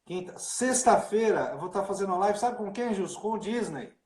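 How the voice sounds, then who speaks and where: Guy pastor hosting a live, chatty and loose, voice a bit high, echoey room. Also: animated, fairly quick, darkish tone. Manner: chatty, informal, full of fillers like né and cara. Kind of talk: casual livestream chat.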